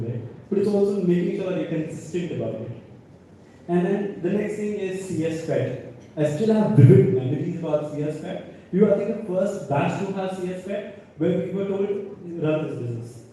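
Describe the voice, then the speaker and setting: Echoey young Indian man, very mellow voice. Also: sits high. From North India. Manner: conversational, often ends points with "right?". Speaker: alumni panelist, businessman. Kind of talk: informal panel talk with anecdotes. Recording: echoey hall, distant mic, faint noise.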